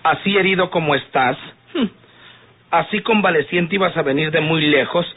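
A man's voice: a little high, normal speed, slightly rough. An actor playing a fussy, effeminate man with a Mexican accent, petulant and sighing, in old radio drama style. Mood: grave, reproachful. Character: fussy, effeminate man fretting over his honor. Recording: muffled, phone-like old radio recording.

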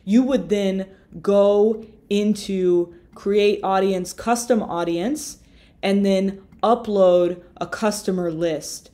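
Slow, mellow-voiced woman explaining software. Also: mid-range voice. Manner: relaxed, explanatory, with fillers and self-corrections. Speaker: marketing tutor. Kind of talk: screen-share tutorial. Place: small room indoors.

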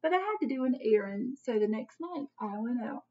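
High-pitched woman reading a picture book aloud. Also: animated, brisk. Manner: dramatic, teacherly, asks questions of the listeners. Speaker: children's story reader. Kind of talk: expressive picture-book read-aloud with questions to young listeners. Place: small home office, indoors. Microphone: computer webcam at desk distance. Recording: dull webcam audio, distant from mic.

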